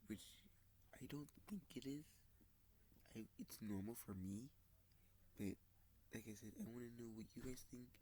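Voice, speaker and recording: A man with a stuffy, sniffly voice talks slowly and haltingly in a casual vlog, often dropping to a soft, whispery tone. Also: mid-range voice, a little hiss or hum, low-quality recording. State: congested, sniffling. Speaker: model train channel host. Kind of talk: casual screen-recorded vlog.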